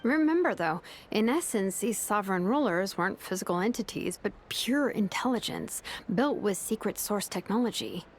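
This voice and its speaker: A woman's voice: medium pitch, a little hiss or hum, natural voice. An actress voicing a cautious, thoughtful young woman who speaks earnestly and measuredly, sometimes hesitating. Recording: game soundtrack music behind.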